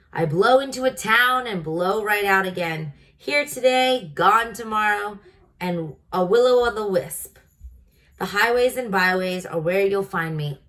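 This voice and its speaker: A woman's voice: mid-range voice, a bit bright, close to an everyday voice. A woman voicing a tiny male mouse traveler from a storybook, polite, jaunty and wistful, with slightly formal speech.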